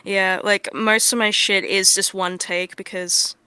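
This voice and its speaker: A woman with a crisp, bright voice hosts a casual livestream. Her pitch stays quite level, and she talks informally, swearing freely. The sound is close and dry. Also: little pitch movement, measured pace, dry recording. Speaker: audio roleplay creator and livestream host.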